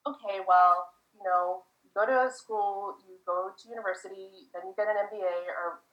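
A woman's voice: mid-range voice, fairly even intonation, moderate pace, fairly bright tone. A woman in her forties from the United States, a guest chatting in a rambling way with lots of fillers. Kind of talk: casual interview answers. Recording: echoey, dull lo-fi sound.

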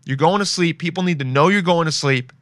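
A man's voice: a little high, fairly quick, fairly bright tone, dry recording. A young man hosting a casual podcast, rambling and joking as he browses shopping sites and reads reviews aloud. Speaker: podcast host.

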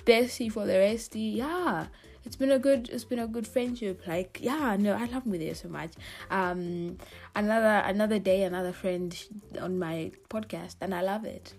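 A woman's voice: mid-range voice, normal speed, a little mellow. A young woman with a Kenyan accent, hosting a podcast and wrapping up in a rambling, giggly, casual way. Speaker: podcast host.

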